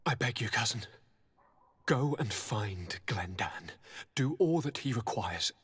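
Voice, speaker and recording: An actor playing a sick young nobleman governor in a video game, formal, theatrical and pleading, with a breathy, suffering delivery. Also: mid-range voice, animated, slow pace, fairly bright tone, full character voice. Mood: pained, pleading. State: suffering, weakened by sickness. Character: ailing young nobleman governor, courteous, anguished, devoted. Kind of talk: dramatic video game acting. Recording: long hall-like reverb.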